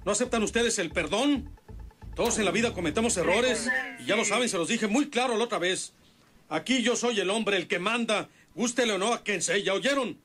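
A man's voice: sits high, a little fast, fairly bright tone, a little hiss or hum. A man playing a domineering, stubborn patriarch, emphatic and impatient in a radio soap opera. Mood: commanding. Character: domineering, stubborn patriarch, gruffly defending a woman.

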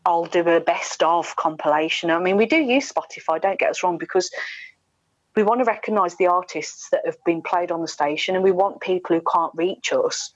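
Low-voiced woman on an echoey call. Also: moderate pace. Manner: opinionated, emphatic. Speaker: guest radio presenter. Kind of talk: casual podcast interview chat. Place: small room indoors, video call. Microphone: wired earphone headset. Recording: dull, echoey video-call audio.

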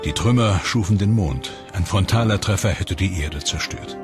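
A male narrator delivers scripted science documentary narration. His voice sits on the low side. Music plays clearly underneath him as he reads. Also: moderate pace. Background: background music.

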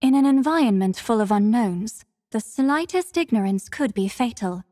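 A woman voicing a devout young female warrior in an anime-style game, gentle and solemn, speaking formally and with measured earnestness. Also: a little high, lively intonation, no room sound, clean studio sound. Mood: gentle, polite.

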